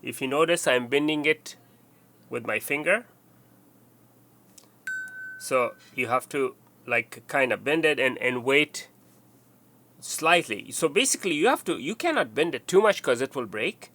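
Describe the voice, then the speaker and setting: A man giving an unscripted, step-by-step hands-on tutorial, speaking haltingly and often repeating or rephrasing his instructions. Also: medium pitch, lively intonation, sharp tone. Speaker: repair tutorial presenter.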